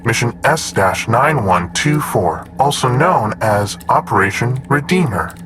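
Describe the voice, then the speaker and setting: An actor playing a formal, precise, unflappable android assistant in an audio drama, reporting in a measured manner. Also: male voice, mid-range voice, expressive, fairly bright tone, faint music behind. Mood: matter-of-fact. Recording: clear background noise with typing.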